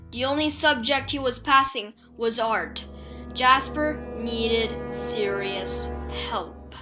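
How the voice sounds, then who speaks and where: A woman with a high-pitched voice narrates a spooky picture book for children. She takes her time, pausing deliberately for suspense and playing up the drama. Music runs clearly underneath her reading. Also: bright, forceful tone. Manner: theatrical, suspenseful, with deliberate pauses. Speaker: children's storybook reader.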